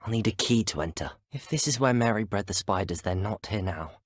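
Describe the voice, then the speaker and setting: Earnest young British wizard student. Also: male voice, lowish voice, expressive, a little fast, no echo, clean studio sound. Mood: focused, observant. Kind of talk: video game character dialogue.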